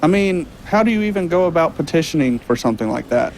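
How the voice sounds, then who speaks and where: A man's voice: a little high, lively intonation, quick pace, darkish tone, slight background noise, poor audio. An actor playing an earnest, easily exasperated young man, a concerned friend, in a naturalistic audio drama. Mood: doubtful, wondering.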